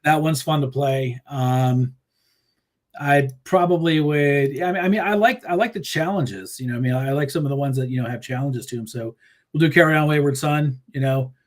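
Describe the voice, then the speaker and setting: A man talking casually as a musician guest, rambling through stories with plenty of fillers and restarts. He laughs easily and tells his tales in an animated way, his voice sitting in a middle pitch. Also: brisk. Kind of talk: casual interview chat.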